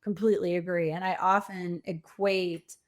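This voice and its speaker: A woman's voice: fairly low pitch, little pitch movement, normal speed. A middle-aged woman speaking as an interview guest, reflective and conversational. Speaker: podcast guest, coach and author.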